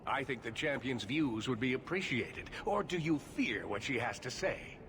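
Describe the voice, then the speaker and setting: An actor playing an indignant, impassioned leader of mages, speaking formally and urgently in a declamatory fantasy game style. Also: male voice, medium pitch, animated, normal speed, background noise, lightly acted. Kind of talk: dramatic fantasy video game acting.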